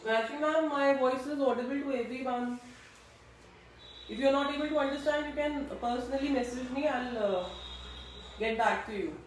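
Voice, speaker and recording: Lady teaching a craft class online, kinda high voice, slow step by step talk, super echoey and far from the mic. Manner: slow instructional, checks audibility with attendees. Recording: distant echoey room mic.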